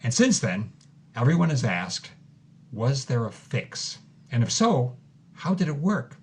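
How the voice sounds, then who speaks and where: A man's voice: medium pitch, sing-song, a little slow. Earnest, wide-eyed actor as male interviewer. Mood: amazed, earnest. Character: eager, amazed male interviewer recapping history. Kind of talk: scripted audio drama interview.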